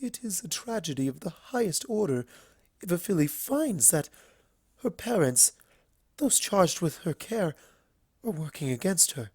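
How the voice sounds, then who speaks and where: Woman voicing an earnest, refined unicorn pony, raised pitch. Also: big pitch swings, a little slow, darkish tone, husky, dry recording, clean studio sound, full character voice. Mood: pained. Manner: formal, eloquent, emotive.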